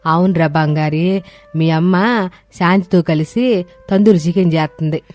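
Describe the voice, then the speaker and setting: A woman's voice: fairly low pitch, unhurried, darkish tone, close to an everyday voice. An elderly mother-in-law in a cartoon family tale, played by a woman, talks in a homely, colloquial way, fond yet skeptical. Faint music plays behind. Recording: music bed under voice.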